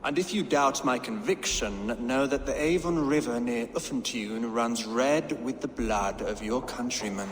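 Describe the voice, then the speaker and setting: An actor playing a cold, haughty Saxon king in a video game, speaking in a formal, measured, condescending way. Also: medium pitch, lightly acted. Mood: cold taunting. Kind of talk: dramatic video game acting. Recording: echoey hall, faint noise.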